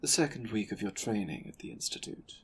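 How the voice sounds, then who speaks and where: A man playing an imperious, menacing board leader in an audio drama, speaking in a condescending, deliberate, sardonic way. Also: on the low side, sing-song, gravelly, a bit bright, a little hiss or hum, exaggerated voice. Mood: scornful, sardonic. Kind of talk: audio drama roleplay acting.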